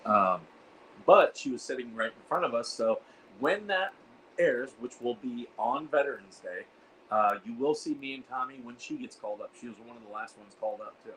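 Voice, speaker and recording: A hoarse man hosting a casual livestream, rambling with lots of fillers and reading out the chat. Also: mid-range voice, animated, normal speed, fairly bright tone, a little hiss or hum, low-quality recording. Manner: rambling, reads chat aloud, frequent fillers. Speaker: host of a veterans livestream. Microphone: iPad.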